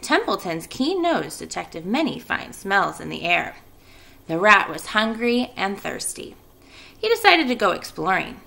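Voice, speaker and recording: Bright, creaky-voiced woman teacher. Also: mid-range voice, very animated, a little slow. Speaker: elementary school teacher. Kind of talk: children's read-aloud and casual class announcements.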